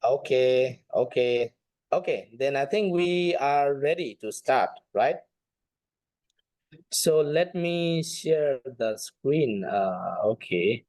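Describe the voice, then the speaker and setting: A man's voice: mid-range voice, expressive, takes it slowly, a little mellow, no echo. A man teaching an informal online class as a Buddhist monk, speaking hesitantly and often repeating phrases. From Nepal.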